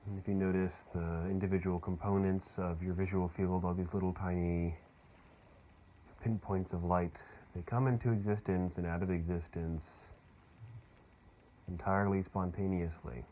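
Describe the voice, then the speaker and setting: A man leading a guided meditation in a soothing, unhurried way, leaving long pauses. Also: low voice, flat delivery. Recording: muffled phone-like sound with clear background noise.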